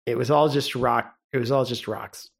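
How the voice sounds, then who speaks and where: A young man co-hosting a podcast in casual chat, relaxed and rambling, laughing often. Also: mid-range voice, moderate pace, vocal fry, husky. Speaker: podcast co-host.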